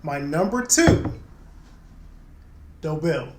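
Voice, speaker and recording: Higher-voiced man in an echoey, slightly noisy room. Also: sing-song, very slow. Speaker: co-host of a spirits review show. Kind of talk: casual chat. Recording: echoey room with some background noise.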